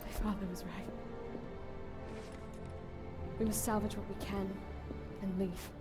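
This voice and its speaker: A woman's voice: medium pitch, flat delivery, fairly quick, lightly acted. An actress playing a proud, sorrowful young noblewoman in a fantasy video game, earnest and formal. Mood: bitter sorrow. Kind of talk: dramatic fantasy video game cutscene acting. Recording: orchestral game music behind.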